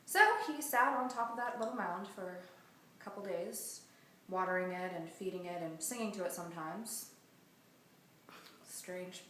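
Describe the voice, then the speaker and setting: A woman's voice: fairly low pitch, moderate pace, pauses often, fairly bright tone. A woman improvising a casual comic story in a rambling, conversational way with offhand asides. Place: echoey room, far from mic. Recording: echoey room, distant mic, faint noise.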